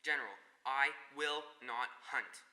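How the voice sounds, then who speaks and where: Man acting a terse, sharp-voiced hunted castaway. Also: mid-range voice, measured pace. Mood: tense refusal. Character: defiant, uneasy male castaway hunted as prey. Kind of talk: amateur short story dramatization.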